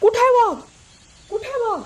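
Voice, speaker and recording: A woman's voice: high voice, normal speed, a bit bright. A woman voicing alarmed, indignant villagers with urgent questioning in a children's story.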